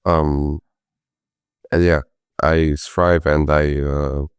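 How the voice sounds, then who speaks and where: A man from Croatia, a product manager, introducing himself on a webinar in a relaxed, hesitant way with many uhs. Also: rumbling low, extremely slow, smooth, dry recording. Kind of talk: casual presentation with fillers.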